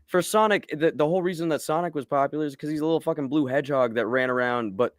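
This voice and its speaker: A man's voice: fairly high pitch, fast, dry recording, clean studio sound. A man co-hosting a casual live discussion, opinionated and emphatic, swearing freely as he makes his points. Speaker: podcast co-host.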